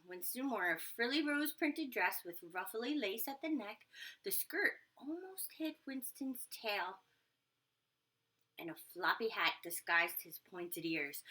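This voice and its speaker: Bright, animated woman reading to children. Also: mid-range voice. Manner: expressive, engaging listeners with questions. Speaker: storybook reader for young children. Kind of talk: animated children's read-aloud with asides to listeners.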